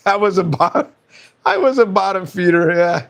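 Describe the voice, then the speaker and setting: A man with a fairly high voice hosts a relaxed live stream. He talks casually and reads out viewer comments, laughing often. His voice sounds slightly hoarse. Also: normal speed.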